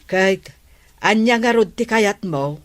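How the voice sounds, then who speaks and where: Lady playing captains wife in radio comedy, slow talker, patient but naggy, dry studio sound. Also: medium pitch, lively intonation, dry recording, lightly acted. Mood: chiding. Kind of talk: Ilocano comedy radio drama acting.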